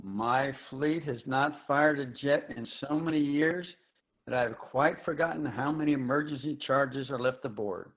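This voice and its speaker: A man playing a grave, resolute leader of a dying future Earth in a solemn, measured, theatrical dramatic reading. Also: mid-range voice, natural voice. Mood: wistful, solemn. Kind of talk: dramatic reading of science fiction. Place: online video call reading. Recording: dull, lo-fi video call audio.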